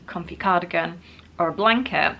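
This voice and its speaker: A woman's voice: lowish voice, moderate pace, vocal fry, pushed and bright, rough recording. A woman vlogger, sick and wheezy with a bad cough, chatting casually to camera and poking fun at herself. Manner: chatty, self-mocking, many "like" fillers.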